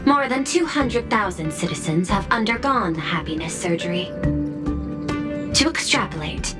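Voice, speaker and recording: A woman's voice: mid-range voice, natural voice. A woman playing a cool, commanding corporate executive in a video game, precise, formal and analytical. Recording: loud music behind the voice.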